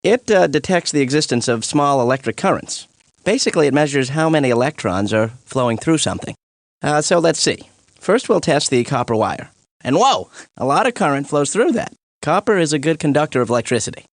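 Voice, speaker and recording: Breezy cartoon science professor. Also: male voice, fairly high pitch, animated, a little fast, no room sound, clean studio sound. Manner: breezy, casual explaining. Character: laid-back, jokey male science professor expert witness. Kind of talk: animated educational courtroom dialogue.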